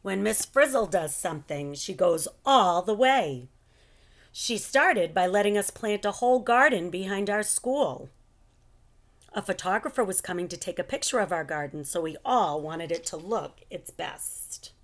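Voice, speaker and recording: A middle-aged woman reading a children's book aloud in an expressive, animated storytelling style at home. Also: medium pitch, measured pace. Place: living room at home.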